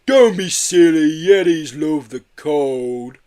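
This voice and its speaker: A man voicing a cheerful, carefree, tipsy female yeti from a children's storybook, with a slow, drawn-out delivery. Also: on the high side, expressive, dark tone, pitched above the performer's own voice. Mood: merry, tipsy.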